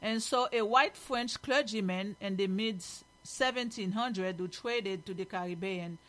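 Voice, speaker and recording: A woman's voice: mid-range voice, measured pace, fairly bright tone, poor audio. Emphatic woman with a Haitian accent. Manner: emphatic, opinionated. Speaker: host. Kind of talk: reading news aloud with spoken commentary.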